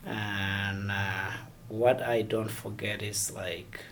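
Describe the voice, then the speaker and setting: A man from Somalia, answering interview questions haltingly and searching for words. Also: on the low side, fairly even intonation, noisy background. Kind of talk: oral history interview.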